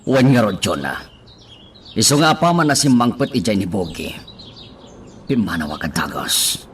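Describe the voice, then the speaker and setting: A man's voice: lowish voice, sing-song, moderate pace. A man with an Ilocano accent playing a scheming, agitated man with secrets in a radio drama. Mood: guarded. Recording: distant mic with clear background noise.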